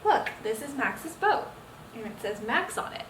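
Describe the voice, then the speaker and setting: A young adult woman reading a picture book aloud to children, expressive and full of engaging questions. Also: on the high side, a little slow. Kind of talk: children's read-aloud storytelling. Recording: roomy echo, distant mic, faint noise.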